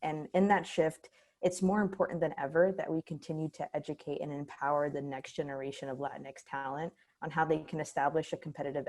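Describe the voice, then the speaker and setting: A woman's voice: low-pitched, mellow. A woman moderating a panel, introducing the speakers upbeat and friendly over a video call. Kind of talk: hosting a panel.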